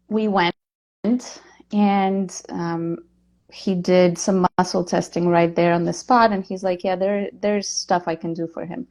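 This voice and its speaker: Soft woman, hesitant. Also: on the low side. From Poland. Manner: hesitant, many fillers, soft-spoken. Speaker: guest, nutritional therapist and nurse. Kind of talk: interview chat.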